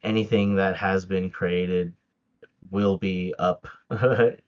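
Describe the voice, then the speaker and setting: Hesitant deep-voiced man, muffled audio. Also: steady tone, slow. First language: Tagalog. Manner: hesitant, many fillers and restarts, laughs often. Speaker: podcast guest. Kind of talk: casual interview chat.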